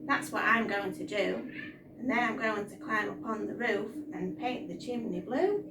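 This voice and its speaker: A woman voicing cheerful, excited chimpanzee townsfolk from a children's storybook, full of enthusiasm. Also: mid-range voice, normal speed, natural voice. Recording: roomy, distant mic, noisy.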